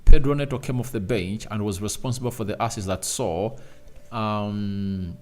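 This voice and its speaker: A man's voice: fairly low pitch, moderate pace, a little mellow, slight background noise. A man hosting a casual football transfer news show, rambling and talking straight to his viewers. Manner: rambling, repeats "obviously" and "I tell you", talks to viewers directly. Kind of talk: casual solo commentary.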